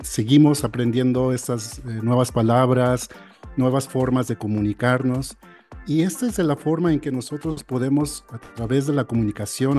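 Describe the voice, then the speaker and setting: American man with a soft, dark voice. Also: mid-range voice. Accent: American English. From United States. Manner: halting, frequent restarts and fillers. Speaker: audiologist guest. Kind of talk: interview, explaining.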